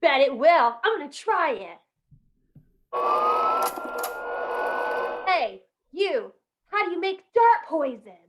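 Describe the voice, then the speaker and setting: A woman's voice: high voice, sing-song, a little slow, very noisy. A woman playing a bratty, defiant, demanding young boy in an old-time radio comedy, insolent and boastful. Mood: pushy, mischievous.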